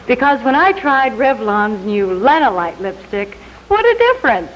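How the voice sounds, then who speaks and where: A female American presenter delivering a polite, rehearsed sponsor message. Her voice is a little high and swings expressively. The sound is a dull 1950s broadcast with faint noise. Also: sing-song, moderate pace. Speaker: sponsor's presenter on a game show. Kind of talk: scripted 1950s television commercial.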